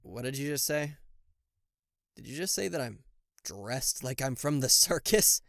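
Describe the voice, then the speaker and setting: A man's voice: medium pitch, animated, unhurried, creaky, a bit bright, no room sound, studio quality. A man playing a cocky, easily flustered king of hell in an ASMR roleplay, sarcastic, indignant and theatrical, speaking to the listener. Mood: offended, pompous.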